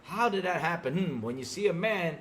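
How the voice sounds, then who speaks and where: Male gaming podcaster, higher voice, very animated delivery, emphatic and sarcastic live rant. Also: a little fast. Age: middle-aged. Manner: emphatic, sarcastic, mimics fans mockingly. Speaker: podcast host.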